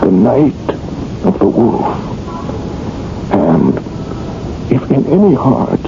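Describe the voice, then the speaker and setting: Ominous, slow-spoken, creaky-voiced man. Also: lowish voice, full character voice. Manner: halting, portentous storytelling. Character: ominous, knowing man who confesses to killing his secret. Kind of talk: 1970s radio drama acting. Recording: muffled 1970s radio broadcast with music, noise.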